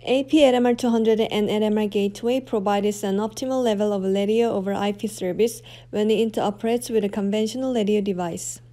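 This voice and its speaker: A woman reading scripted product demonstration narration in a measured, formal manner. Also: medium pitch, steady tone, mellow.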